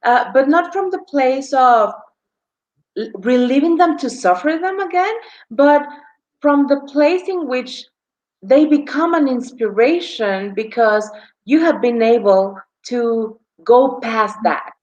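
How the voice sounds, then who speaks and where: A woman hosts an interview show over a video call. Her voice is a little high and her sound a touch dull and lo-fi. She thanks her guest effusively and fills her questions with little hesitations. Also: takes it slowly. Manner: warm-spoken, effusive thanks, frequent fillers. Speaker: show host. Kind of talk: conversational interview hosting.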